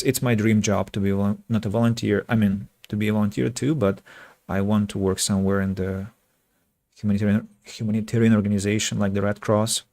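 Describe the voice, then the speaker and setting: A man from Russia with a Russian accent chats casually with viewers as a game streamer, speaking reflectively and pausing mid-sentence. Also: lowish voice, creaky, darkish tone, no echo. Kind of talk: casual livestream chat with viewers.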